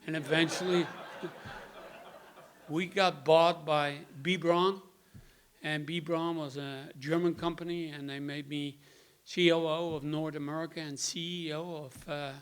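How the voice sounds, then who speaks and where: A man in his sixties from the Netherlands with a Dutch accent, giving a plainspoken personal testimony to a group, pausing often on "uh.". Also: a little high, level tone, clean tone. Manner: plainspoken, halting with many "uh"s. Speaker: guest speaker.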